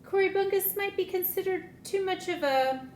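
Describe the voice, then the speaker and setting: A woman acting a high-pitched shop clerk in a comic stage play, with formal customer-service manners and a short fuse under them. The room echoes around the voice, with faint noise. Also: normal speed. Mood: careful, puzzled. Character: polite bookstore returns clerk, woman, increasingly exasperated. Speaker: actor in a student play. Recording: roomy echo, low rumble, faint noise.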